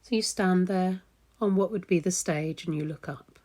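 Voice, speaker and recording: A woman leading a guided meditation in a soothing, gentle, unhurried manner with long pauses. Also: lowish voice.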